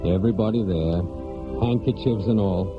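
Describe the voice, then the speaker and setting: An American actor playing a disgraced, brooding prodigal son who narrates in halting, clipped phrases in a 1940s radio drama. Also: male voice, lowish voice, lightly acted. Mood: wry, resentful. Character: disgraced prodigal son of a hard New England family, brooding. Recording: dull 1949 radio recording, music behind.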